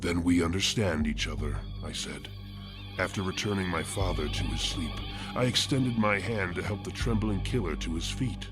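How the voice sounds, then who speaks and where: Low-voiced, coldly courtly killer played by a man. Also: close to an everyday voice. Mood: cool menace. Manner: deliberate, formal, ornate, coolly menacing. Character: cold, courtly, macabre male killer narrating his deeds. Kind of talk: scripted first-person horror narration. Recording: loud music behind the voice. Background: loud music.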